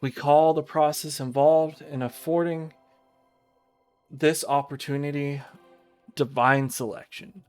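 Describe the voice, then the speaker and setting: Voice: medium pitch, fairly even intonation, slow pace, pauses often, a little mellow, clear, resonant tone, faint music behind, natural voice. A streamer voicing a haughty, elegant young goddess of destiny in a visual novel, speaking formally and imperiously. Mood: formal, grand.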